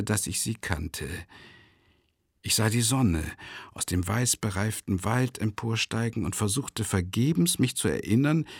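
An actor playing an unhinged psychiatric patient in a radio play monologue, theatrical and rambling, with a husky voice. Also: male voice, low-pitched, no echo, clean studio sound, exaggerated voice. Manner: theatrical, rambling, swinging from musing to agitated. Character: unhinged male psychiatric patient confessing to his doctor.

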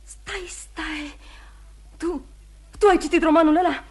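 A woman's voice: very high voice, steady tone. An actress in a radio play voicing a devoted, strong-willed young woman in love, impulsive and insistent, often repeating her words. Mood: excited scheming. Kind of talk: old radio theatre acting.

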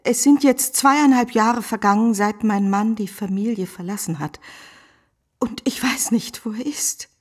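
An actress playing an anguished, pious, middle-aged abandoned wife of noble rank in a radio play, formal and pleading, with a breathy voice. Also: female voice, a little high, measured pace, a little mellow. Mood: desperate, pleading. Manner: formal, pleading, emotionally fraught. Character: anguished, pious abandoned baroness, earnest and dignified. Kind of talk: German radio play acting.

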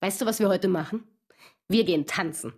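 A woman's voice: mid-range voice, a little fast, darkish tone, slightly rough, lightly acted. An actress playing a teasing young woman who coaxes her friend, speaking in a playful, prodding way in a radio drama. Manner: teasing, coaxing.

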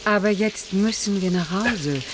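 A woman's voice: mid-range voice, a little slow, background noise, natural voice. An actress playing a formal, guarded mother shielding her daughter in a German radio play, reserved yet theatrical. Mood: guarded, polite.

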